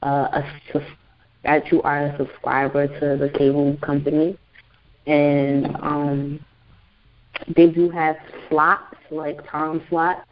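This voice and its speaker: A woman's voice: sits low, flat delivery, slight background noise. Chatty young woman on muffled call-in audio. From Newark, New Jersey. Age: 20s. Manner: chatty, loose, with many fillers and quick laughs. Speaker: guest author and internet radio host. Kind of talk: casual phone interview chat.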